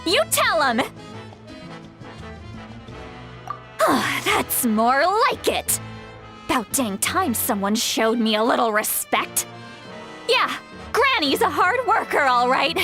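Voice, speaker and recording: A woman's voice: high-pitched, very animated, takes it slowly, bright, forceful tone, exaggerated voice. A woman voicing an easily flustered, self-pitying, centuries-old yet youthful tipsy shaman in a video game. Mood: boastful, tipsy. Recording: game music under the voice.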